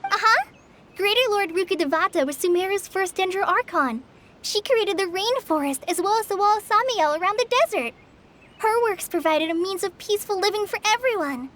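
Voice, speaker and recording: A woman's voice: extremely high pitch, lively intonation, a little fast, fairly bright tone, slight background noise. A woman voicing an earnest, eager young trainee forest ranger in a video game, with a light youthful voice. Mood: earnest, informative. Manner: earnest, helpful, explanatory. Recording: music behind the voice.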